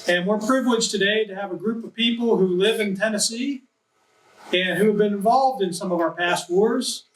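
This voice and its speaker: A man hosting a group interview, friendly and measured, putting simple questions to each guest in turn. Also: sits high, fairly bright tone. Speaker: interviewer, center director. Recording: echoey room, mic at a distance.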